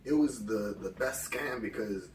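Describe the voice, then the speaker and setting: A man's voice: fairly low pitch, unhurried. An American man in his late twenties telling personal stories in a casual, candid, colloquial way as an interview guest. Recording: echoey room, distant mic, background noise.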